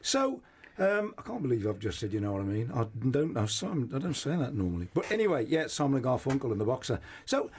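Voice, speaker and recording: A man's voice: medium pitch, sing-song, fast, few pauses, slightly rough. A man hosting a conversational radio discussion, speaking in an emphatic, exclamatory way. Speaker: radio host.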